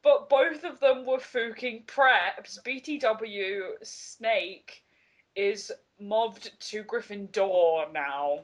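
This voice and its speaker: A woman's voice: medium pitch, extremely slow, dull audio. A young woman reading aloud in a mocking group game, giggly and openly incredulous as she banters. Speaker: player in a comedic dramatic reading game.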